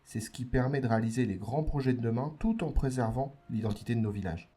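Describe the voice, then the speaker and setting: Mellow male explainer host with music. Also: medium pitch, fast. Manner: didactic, clearly structured, addressing listeners. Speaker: host of an educational podcast. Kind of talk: scripted explainer narration for exam revision.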